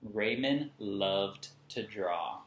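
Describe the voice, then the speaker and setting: A man in his thirties reading a picture book to young children expressively, with emphatic, deliberate delivery and explanatory asides. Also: fairly low pitch, animated, a bit bright. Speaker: children's read-along host. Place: small indoor room at home. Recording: echoey room, camera at a distance.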